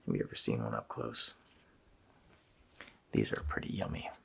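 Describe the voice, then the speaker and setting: A man voicing a gloating, child-eating Christmas demon in a gravelly, breathy whisper, drawling casually and menacingly in an ASMR roleplay. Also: very deep voice, lively intonation, normal speed, a little hiss or hum, heavily acted. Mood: ghoulish, playful.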